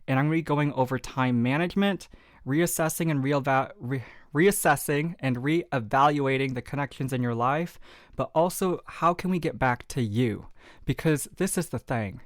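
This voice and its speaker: A man's voice: medium pitch, no echo, studio quality. A man with a Southern American accent hosting a podcast, talking straight to the listener in an upbeat, encouraging, folksy way. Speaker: podcast host. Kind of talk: motivational podcast monologue.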